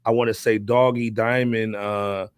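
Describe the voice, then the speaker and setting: A man's voice: fairly low pitch, flat delivery, measured pace, smooth, dry recording, clean studio sound. A man in casual podcast conversation as a co-host, talking in a laid-back, slangy way. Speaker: hip-hop podcast co-host. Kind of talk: casual chat.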